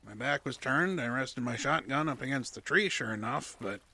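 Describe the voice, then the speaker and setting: An actor playing a meek, slow-witted backwoods man with a hesitant, folksy way of talking. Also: medium pitch, expressive, pushed and bright, husky, no room sound, full character voice. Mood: sheepish. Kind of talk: audio drama acting.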